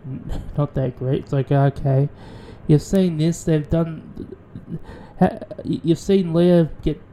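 A man with an Australian accent, rambling through a casual radio monologue, swearing freely and restarting his sentences. His voice is very dark and mellow in tone. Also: a little high, slow, slight background noise. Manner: rambling, profane, many restarts. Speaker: radio show host.

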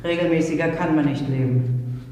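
An actress playing a stern, suspicious middle-aged wife in a radio drama, with a curt, insistent way of talking. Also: female voice, low voice, moderate pace, mellow, lightly acted. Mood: insistent, matter-of-fact. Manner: curt, matter-of-fact, insistent. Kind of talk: 1950s German radio play acting. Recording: very echoey, reverberant, hissy 1950s radio recording.

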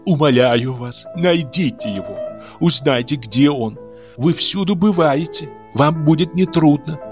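A man's voice: fairly high pitch, unhurried, faint music behind, voice pushed up, lightly acted. A man voicing an anxious, sentimental middle-aged woman fortune-teller who pleads in a fluttery way. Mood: pleading.

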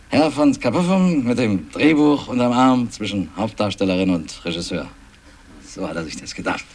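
An actor in a radio drama playing a film company cameraman who recounts events in a halting, colloquial, chatty way. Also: lowish voice, darkish tone. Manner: halting, colloquial, repeats and restarts phrases. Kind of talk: 1960s German radio drama, acted eyewitness account.

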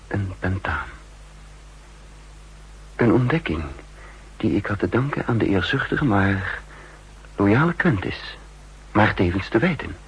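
An actor playing a cool, calculating spy chief who narrates in the first person, in a 1960s radio drama. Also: male voice, on the low side, breathy edge, exaggerated voice, rough recording. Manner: measured, sardonic. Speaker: narrator and lead.

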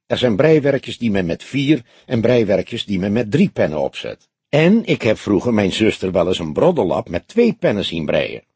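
An older detective, as acted by a male narrator, speaks with a very husky, breathy edge and deliberate care. He is grave and dryly humorous, with lively turns of pitch, as in a crime audiobook. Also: no echo, heavily acted. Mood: dry, explanatory. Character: older police detective, dry, grave, quietly wry. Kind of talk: audiobook character dialogue.

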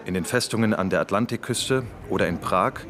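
Actor as low-voiced skeptical café doctor. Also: male voice, a little fast, fairly bright tone, close to an everyday voice. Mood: sober, speculating. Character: male doctor, sober skeptical café debater. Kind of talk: radio play dialogue. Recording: busy café crowd behind.

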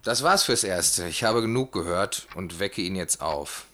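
A man plays a calm hypnotherapist in a horror radio play. His voice sits fairly low, and he speaks in a deliberate, formal, clinical way. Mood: grave. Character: Jacques, calm, measured, clinical male hypnotherapist.

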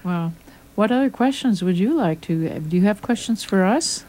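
A woman's voice: medium pitch, expressive, normal speed, darkish tone, poor audio. A woman from Michigan hosting a casual radio talk show, steering the conversation. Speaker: radio host.

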